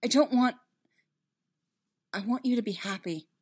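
A woman's voice: on the high side, slightly rough, pitched above the performer's own voice. Woman acting an anguished, self-loathing young man. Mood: miserable. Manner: halting, emphatic, desperate.

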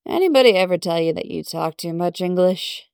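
A woman's voice: lowish voice, lively intonation, brisk, gravelly, a little mellow, smooth, no echo. Brash young American working-class woman, voiced by a female narrator, slangy, fond and teasing, dry close sound.

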